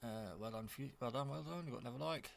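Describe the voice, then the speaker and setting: A man giving a casual, off-the-cuff product demo, rambling in a low-key way as he thinks aloud. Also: fast talker, creaky, slight background noise. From England. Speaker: hobbyist reviewer.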